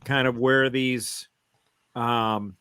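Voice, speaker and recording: Slow, deliberate man in casual podcast talk. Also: mid-range voice, fairly even intonation, sharp tone, smooth, dry recording. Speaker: podcast co-host. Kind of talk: casual fan discussion, recounting and theorizing.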